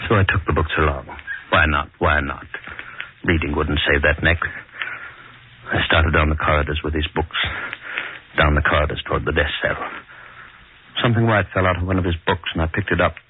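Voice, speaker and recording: Male, grim prison hangman, very deep, monotone, muttering, haunted, vintage radio horror, narrow-band sound. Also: fairly quick, pauses often. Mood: furtive, grim. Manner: muttering, terse repeated fragments. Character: morbid, brooding male prison hangman confessing his guilt. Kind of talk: 1940s radio horror acting, haunted narration. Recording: noisy, narrow-band 1940s radio recording.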